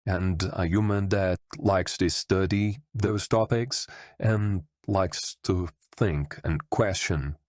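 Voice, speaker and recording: A man from Brazil, a native Portuguese speaker with a Brazilian accent, talking earnestly and haltingly to his viewers, set back from the mic. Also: sits low, darkish tone. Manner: earnest, halting, with fillers and restarts. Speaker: channel creator. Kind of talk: unscripted personal address to viewers.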